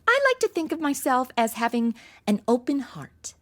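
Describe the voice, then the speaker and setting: A woman's voice: high-pitched, very animated, moderate pace, a bit bright. An actress playing a brash, flippant, clueless young modern party woman with slangy talk in a comedic audio drama. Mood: breezy.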